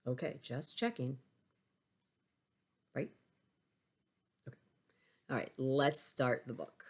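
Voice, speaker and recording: A woman teacher reading a picture book aloud to young pupils, expressive, with chatty asides. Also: extremely low pitch, takes it slowly, breathy edge. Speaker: elementary school teacher.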